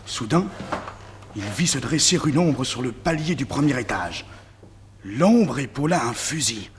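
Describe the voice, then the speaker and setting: An actor playing the narrator of a vintage French radio crime serial, telling it with dramatic suspense. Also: male voice, medium pitch, expressive, a little slow, very noisy, lightly acted. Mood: suspenseful. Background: music.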